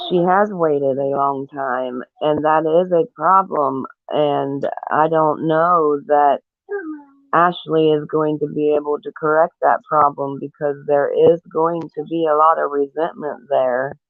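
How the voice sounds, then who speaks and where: Slow, phone-like male voice. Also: a little high, fairly even intonation, dry recording. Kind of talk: casual opinion talk. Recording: muffled, phone-like lo-fi audio.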